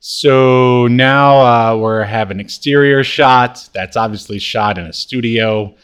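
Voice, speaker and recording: A man co-hosting a podcast, casually recapping a TV show scene by scene in a halting, hesitant way. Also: mid-range voice, fairly bright tone, smooth. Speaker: podcast co-host.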